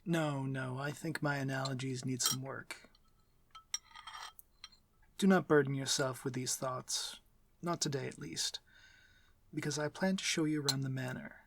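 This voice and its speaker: A man playing a courtly, aloof but civil vampire master in an ASMR fantasy roleplay, speaking formally and with measured authority. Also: medium pitch, fairly even intonation, gravelly, fairly bright tone, slight background noise. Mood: reassuring, formal. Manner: formal, measured, commanding diction. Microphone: close, dry microphone.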